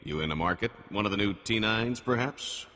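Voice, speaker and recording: An actor playing a slippery alien smuggler who passes himself off as a glib merchant in a video game. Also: male voice, fairly low pitch, a little fast, sharp tone, in a large hall. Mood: glib, rushed. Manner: glib, evasive salesman patter.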